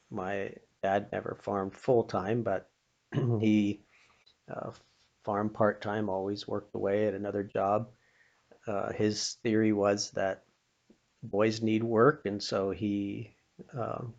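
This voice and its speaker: Low, gravelly older American man telling stories. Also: lo-fi sound. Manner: folksy, plain-spoken, with pauses and chuckles. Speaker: storyteller sharing childhood memories with a religious lesson. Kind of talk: informal inspirational storytelling.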